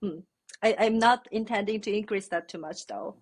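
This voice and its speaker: A young Korean woman, a native Korean speaker, answering interview questions in a relaxed, thoughtful way with many fillers. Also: mid-range voice, normal speed. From South Korea. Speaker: podcast guest. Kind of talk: casual interview chat.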